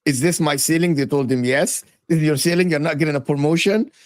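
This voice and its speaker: A man's voice: a little high, fast, dry recording, studio quality. A man from Egypt insistently pressing his point in a heated football debate. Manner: insistent, interrupting. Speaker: panelist.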